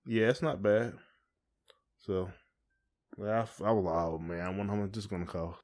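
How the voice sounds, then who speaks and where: A man's voice: lowish voice, steady tone, normal speed, a little mellow. An American man co-hosting a podcast, talking in a casual, informal and colloquial way. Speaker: podcast co-host. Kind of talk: casual conversational chat.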